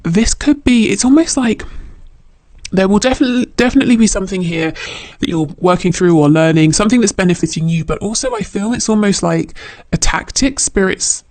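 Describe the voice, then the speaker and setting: Higher-pitched man reading tarot, dry close sound. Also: expressive, a little fast, a little mellow, no room sound. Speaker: tarot reader. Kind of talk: unscripted spiritual tarot reading to viewer.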